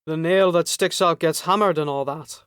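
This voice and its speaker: A man's voice: on the high side, normal speed, fairly bright tone, clean tone, no echo, clean studio sound, close to an everyday voice. A man voicing a male prison guard in an audiobook, breezy and authoritative, with a jovial, needling manner. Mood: breezy, commanding.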